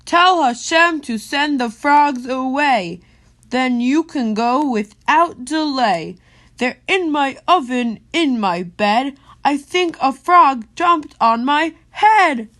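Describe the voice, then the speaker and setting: A woman acts out a mean old storybook king. The voice is pitched up high and very slow, with every word pushed out loud and emphatic. He is stubborn, exasperated and defiant. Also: animated, exaggerated voice. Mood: panicked complaining. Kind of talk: storybook read-aloud.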